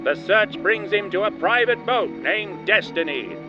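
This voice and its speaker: A man's voice: high voice, expressive, a little slow, dull audio, music in the background. A man playing a melodramatic, portentous narrator of an old-time noir radio serial. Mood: dramatic. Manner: theatrical, portentous.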